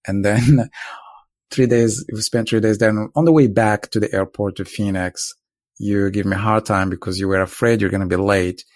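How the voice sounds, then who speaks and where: A man's voice: lowish voice, fairly even intonation, moderate pace, darkish tone, no echo, clean studio sound. A man from Morocco casually telling a couple's story, rambling and laid-back with lots of filler words.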